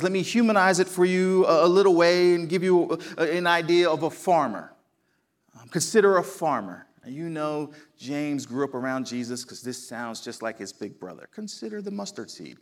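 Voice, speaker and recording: A man in his forties with a South Jersey American accent, preaching a sermon in a conversational, folksy storytelling way. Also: fairly high pitch. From New Jersey, United States. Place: church sanctuary.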